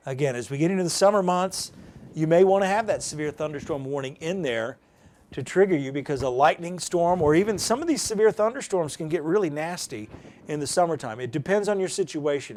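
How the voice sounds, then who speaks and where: An American man giving an informal live demonstration, explaining off the cuff in a folksy, conversational way and thinking aloud as he goes. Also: fairly high pitch. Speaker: presenter of a weather radio programming demonstration.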